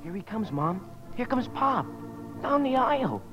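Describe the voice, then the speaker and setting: A performer playing an eager, excitable American boy in a 1950s radio comedy, earnest and conspiratorial. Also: medium pitch, a little slow, music in the background. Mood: excited. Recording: muffled, noisy echoey 1950 radio recording.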